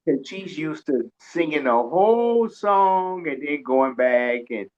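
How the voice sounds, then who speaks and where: A woman co-hosting an interview with casual, animated storytelling, on a dull lo-fi recording. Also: sits low, slow pace. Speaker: interviewer, co-host. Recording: dull lo-fi sound.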